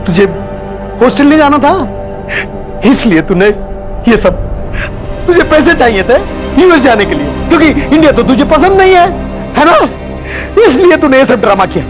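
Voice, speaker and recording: Agitated Indian father, high voice, swooping intonation, confrontational, TV crime drama. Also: very animated, normal speed, pauses often, over music. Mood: accusing. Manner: insistent, confrontational. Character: accusing, agitated middle-aged father pressing his daughter.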